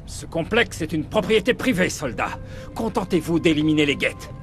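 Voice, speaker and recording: A man's voice: on the high side, lively intonation, normal speed, faint music behind. A man playing a cold, impatient male corporate official in a video game, curt and haughty. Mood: curt, dismissive. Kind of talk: French video game dub.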